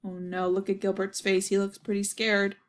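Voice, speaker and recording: A woman's voice: mid-range voice, completely flat delivery, normal speed. A woman reading a children's story aloud as narration, with little asides to the listeners.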